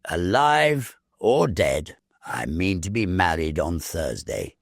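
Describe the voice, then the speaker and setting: A man's voice: deep voice, very animated, slow pace, gravelly, no room sound, clean studio sound, full character voice. A British man voicing a confident, breezy, determined young bridegroom in a story reading, with an offhand, emphatic manner.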